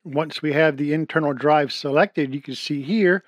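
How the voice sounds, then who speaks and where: A man's voice: fairly high pitch, normal speed, no room sound, studio quality. A middle-aged man with a Southern US accent, a computer repair technician explaining a tech tutorial step by step, with a few fillers. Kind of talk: screen-recorded tech tutorial.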